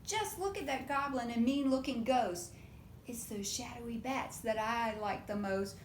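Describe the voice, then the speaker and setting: A woman reads a picture book to small children in a high voice and at an unrushed pace. She is expressive and animated, drawing listeners in with questions. The room adds noticeable echo. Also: unhurried. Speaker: preschool storytime reader. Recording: roomy echo with faint low rumble.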